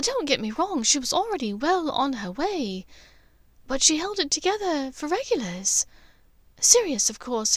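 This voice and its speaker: A woman's voice: sits high, no echo, pitched above the performer's own voice. A woman voicing a refined, reflective mother in an audiobook, speaking hesitantly and confidingly. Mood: sad, reflective. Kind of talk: audiobook character dialogue.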